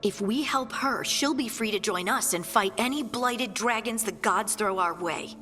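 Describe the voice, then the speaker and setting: Brisk, practical female scout for a fantasy game, acted by a woman; bright, forceful, reverberant. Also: medium pitch, faint music behind, lightly acted. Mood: practical, focused. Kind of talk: video game dialogue.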